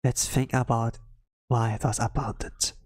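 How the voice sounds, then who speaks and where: A streamer voicing a thoughtful, curious young female researcher who muses deliberately and is quietly determined. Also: medium pitch, little pitch movement, husky, dry recording, clean studio sound. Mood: musing. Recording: background music under the voice.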